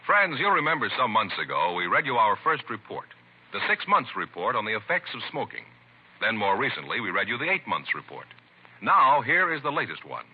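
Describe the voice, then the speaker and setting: Swooping, husky vintage radio ad man. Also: mid-range voice, big pitch swings, a little fast. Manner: emphatic sales delivery. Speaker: commercial announcer. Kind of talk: 1950s radio advertisement read. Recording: muffled vintage radio recording.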